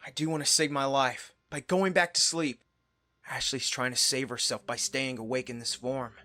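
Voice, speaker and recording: A man playing a solemn, protective young demigod hero in an anime visual novel, in an earnest, deliberate way. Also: medium pitch, fairly even intonation, sharp tone, close to an everyday voice. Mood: brooding. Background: background music.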